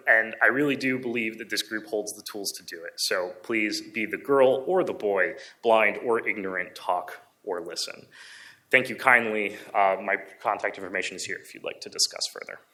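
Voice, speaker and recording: A man's voice: on the low side, normal speed, pushed and bright. A man giving a public presentation in an earnest, reflective way as a conference speaker. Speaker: conference speaker, amateur radio hobbyist.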